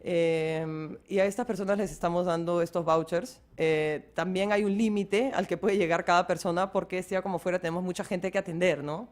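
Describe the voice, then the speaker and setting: A woman's voice: fairly low pitch, fairly even intonation, moderate pace, few pauses, a little mellow. A woman who works on a free clinic project, explaining it fluently in a radio interview and mixing in English terms.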